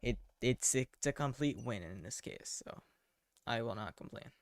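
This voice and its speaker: Deadpan man with a creaky voice. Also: mid-range voice, normal speed, no room sound. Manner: deadpan, self-deprecating, mumbled asides to himself. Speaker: game streamer. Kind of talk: casual live gameplay commentary.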